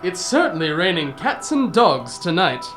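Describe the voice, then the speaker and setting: A woman playing a genial, easily flustered older gentleman storyteller in a radio drama, with a proper, old-fashioned way of talking. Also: fairly low pitch, big pitch swings, normal speed, over music. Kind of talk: radio drama narration and dialogue.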